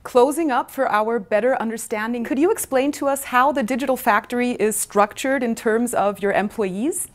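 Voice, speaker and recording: Upbeat woman presenter, slightly high voice. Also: normal speed, hardly any pauses. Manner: upbeat, polished, addressing viewers. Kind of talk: scripted corporate presenting. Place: bright modern office. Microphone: lapel mic.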